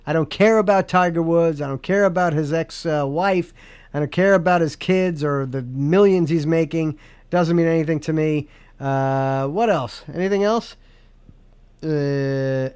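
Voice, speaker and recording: Man, high voice, smooth tone, podcast host, rambling, joking, casual. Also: unhurried, a little mellow. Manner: rambling, joking, many restarts. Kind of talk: casual comedic chat.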